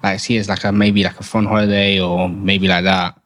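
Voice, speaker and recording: A man's voice: sits low, level tone, fairly bright tone. A nineteen-year-old man from the UK, a podcast guest in a casual group discussion, speaking hesitantly and leaning heavily on "like". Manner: hesitant, halting, leans heavily on "like".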